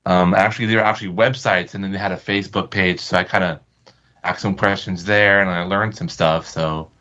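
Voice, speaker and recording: A man's voice: sits low, steady tone, brisk, sharp tone. A man in a casual group chat, explaining things in a hesitant, informal way, over dull lo-fi call audio. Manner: hesitant, explanatory, repeats kinda. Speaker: amateur voice actor.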